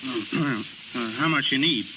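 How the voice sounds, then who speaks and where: A man's voice: mid-range voice, normal speed, close to an everyday voice. An actor playing a nervous, loyal carnival man, hesitant and slangy, in a 1930s radio drama. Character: nervous, loyal, good-hearted carnival hand. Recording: dull, noisy 1930s radio recording.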